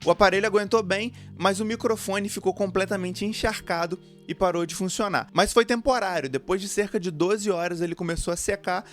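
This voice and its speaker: A man's voice: sits high, fairly even intonation, a little fast, few pauses. A man with a Brazilian Portuguese accent presenting a product review in an explanatory, informal way. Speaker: motorcycle gear review video host. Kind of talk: product review narration to camera or voice-over. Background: background music.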